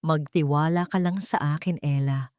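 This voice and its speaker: A woman's voice: deep voice, steady tone, moderate pace, darkish tone, clean tone, no echo, clean studio sound, lightly acted. Woman voicing an ardent young male lover. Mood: tender promise.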